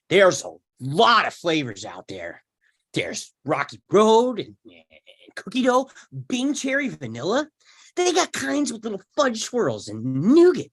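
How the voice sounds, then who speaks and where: Blunt young womanizer for a sitcom read, played by a man, animated pitch, dry close sound. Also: slightly rough, no room sound, full character voice. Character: blunt, girl-chasing young man among his buddies. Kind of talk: sitcom script table read.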